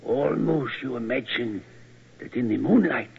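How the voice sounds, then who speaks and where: An actor playing a sinister, mad German museum keeper with a German accent, deliberate and relishing in old-time radio style. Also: male voice, medium pitch, vocal fry, slightly rough, exaggerated voice. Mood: gloating, eerie. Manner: deliberate, relishing, stilted syntax. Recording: muffled, noisy old radio recording.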